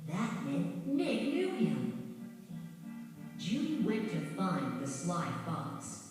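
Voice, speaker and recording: A woman reading a scripted children's story in a measured, dramatic storytelling manner. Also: on the low side, wildly swooping pitch. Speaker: storybook narrator. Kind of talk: scripted children's read-along narration. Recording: very echoey, distant, music behind. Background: music.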